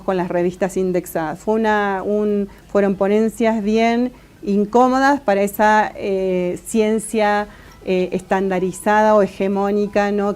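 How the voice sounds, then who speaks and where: Hesitant Argentine woman with a mellow voice. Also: medium pitch. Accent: Argentine Spanish. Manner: thoughtful, many hesitations and restarts. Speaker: interviewee, political scientist. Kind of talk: conversational interview answers.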